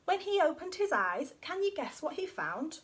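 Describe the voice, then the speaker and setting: A woman reading a rhyming children's story aloud in an expressive, sing-song way. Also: sits high, normal speed.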